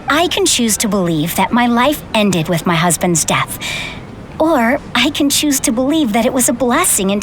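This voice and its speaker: A calm, wise widowed mother. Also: a little high, a little hiss or hum, low-quality recording. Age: middle-aged. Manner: gentle, measured, reassuring. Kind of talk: video game voice acting. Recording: game audio with music.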